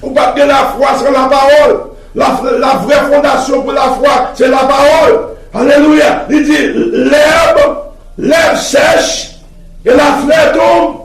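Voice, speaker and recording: Male preacher, Haitian accent, extremely high pitch, fervent and repetitive delivery, picked up from afar with crowd sound behind. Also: level tone, slow. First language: Haitian Creole. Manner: emphatic, repetitive, punctuated with exclamations of praise. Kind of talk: fervent sermon mixing Creole and French. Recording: echoey room, distant mic, crowd noise.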